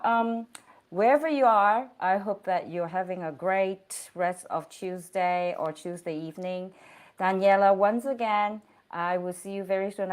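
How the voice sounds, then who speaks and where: A woman's voice: mid-range voice, clear, resonant tone. A woman hosting an online interview over a video call, speaking in an effusive, encouraging way and laughing often. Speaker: host.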